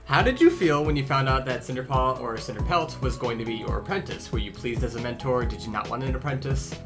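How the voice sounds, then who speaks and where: A man's voice: mid-range voice, fast talker, natural voice. A man playing a blunt, snarky young medicine cat who reads out questions and teases in casual, improvised banter. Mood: curious. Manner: teasing, casual, animated. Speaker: question-reading host. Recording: music playing behind the voice.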